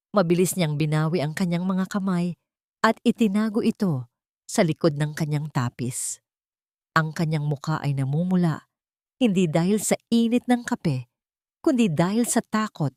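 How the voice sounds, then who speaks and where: Female storyteller, Filipino accent, lower register, solemn measured dramatic narration, dry close recording. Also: a little mellow, no room sound.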